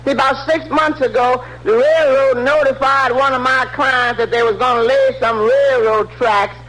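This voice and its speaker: A man's voice: extremely high pitch, fairly even intonation, moderate pace, fairly bright tone. A man acting a shifty, foolish but confident lawyer in an old radio comedy, with a breezy, folksy way of talking. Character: shifty, foolish, confident male lawyer full of bad advice. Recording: noisy old radio broadcast recording.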